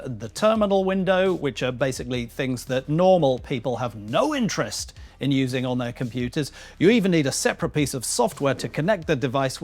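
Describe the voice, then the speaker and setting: A man narrating a scripted tech video in a casual, wry, tongue-in-cheek way with dry asides. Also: fairly high pitch. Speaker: video creator and host. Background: music bed.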